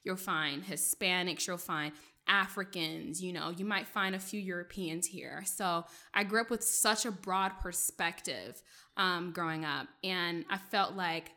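Young woman, journalist, raised in Maryland, first language French; bright tone, loose conversational delivery with fillers. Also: fairly low pitch, steady tone, measured pace. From Maryland, USA. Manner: chatty, many fillers, laughs often. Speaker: journalist, guest. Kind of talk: casual interview storytelling.

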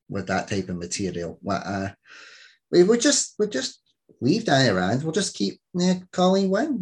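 A man in his thirties hosting a podcast, joking and bantering in casual chat. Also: medium pitch. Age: 30s. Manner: joking, lively, quick to banter. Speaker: podcast host.

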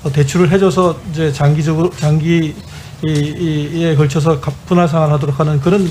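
A man giving formal testimony as an executive, explaining his answers in a hesitant, halting way. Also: fairly high pitch, steady tone, a little mellow, slight background noise. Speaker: bank chief executive testifying to parliament. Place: parliamentary hearing room.

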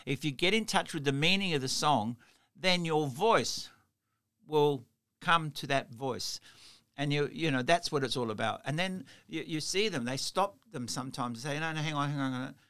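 Middle-aged Australian-accented host, slightly high voice, talkative and anecdotal. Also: male voice, moderate pace, pushed and bright, smooth. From Italy. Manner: chatty storyteller, laughs often, lots of "you know". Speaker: podcast host. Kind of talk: casual interview chat.

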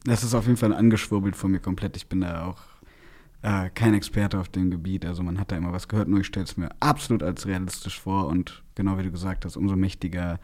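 Young man, lowish voice, rambling slangy talk. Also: steady tone, fast talker, darkish tone. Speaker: podcast host. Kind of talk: casual chat, youth slang.

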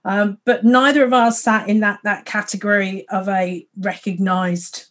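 A woman hosts a relaxed conversation. She rambles from thought to thought, laughs often, and speaks at an ordinary, mid-range pitch. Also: unhurried. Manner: rambling, laughs often, frequent fillers and restarts. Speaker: podcast host. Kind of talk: casual conversation.

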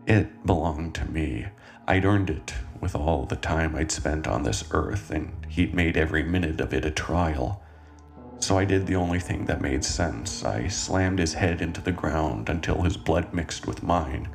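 A man playing a brooding, unsettled cannibal confessing his past in a slow, deliberate horror monologue. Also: extremely low pitch, creaky, faint music behind, full character voice. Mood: haunted, grim. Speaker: horror anthology writer and narrator. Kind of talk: first-person horror monologue, dramatic reading.

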